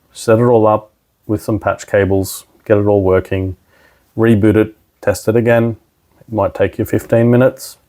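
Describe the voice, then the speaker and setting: A man with a fairly low voice walks the listener through a practical tutorial. There is plenty of gravelly fry in his delivery. He explains each step informally, like a trainer showing installers the ropes. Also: mellow. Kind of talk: casual tutorial walkthrough.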